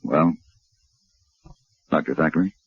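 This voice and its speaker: Suave gunfighter, low voice, husky edge, wry and courteous, old radio western, dull sound. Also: male voice, slow pace, no room sound, heavily acted. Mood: firm, courteous. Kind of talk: 1950s radio drama acting.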